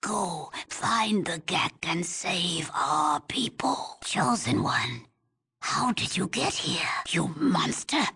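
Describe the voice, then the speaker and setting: An aged female village elder from a video game, her voice very husky and thick with gravel. She speaks slowly and solemnly, with a formal, halting gravity that pleads for her people. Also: on the low side, expressive, bright, forceful tone, full character voice. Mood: desperate, stern. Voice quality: husky, raspy, breathy. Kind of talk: video game character dialogue.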